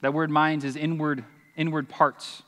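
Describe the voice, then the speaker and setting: A man's voice: fairly high pitch, level tone, measured pace, fairly bright tone. A man in his forties preaching and praying on a church stage, earnest and emphatic, with asides to the congregation. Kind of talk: sermon with scripture reading and prayer. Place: church stage, large hall. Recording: long reverb of a large hall.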